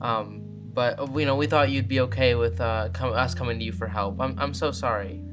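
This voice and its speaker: A man's voice: mid-range voice, fairly even intonation, a little fast, natural voice. A man playing a nervous, apologetic kid protecting a younger sibling, hesitant and rambling, in a tabletop game. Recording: guitar music under the voice.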